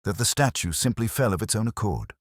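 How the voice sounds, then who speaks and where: A man voicing a calm, grave police inspector in a detective audiobook, speaking gently and formally with quiet firmness. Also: fairly low pitch, a little fast, dry recording, clean studio sound, voice pushed up. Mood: calm probing. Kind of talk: dramatised detective story dialogue.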